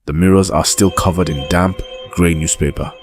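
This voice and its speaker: Very deep-voiced man narrating horror. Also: fairly even intonation, soft background music. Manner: grave, measured, deliberate storytelling. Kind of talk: scripted horror documentary narration.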